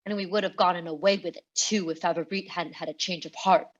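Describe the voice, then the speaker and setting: A woman's voice: lowish voice, fairly even intonation, fast, dull audio, close to an everyday voice. Woman acting a whiny, defiant cartoon villain. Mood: defiant confession. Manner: protesting, melodramatic.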